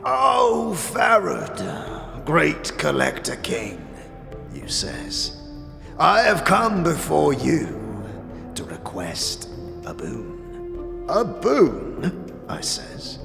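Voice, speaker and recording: An actor playing a sly, bitter old withered lord of scavengers in a fantasy audio drama, with a breathy, gravelly voice and halting, theatrical phrasing. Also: male voice, a little high, huge pitch swings, heavily acted. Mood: mocking, wary. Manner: theatrical, halting, drawn-out phrasing with muttered asides. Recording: roomy reverb, music behind.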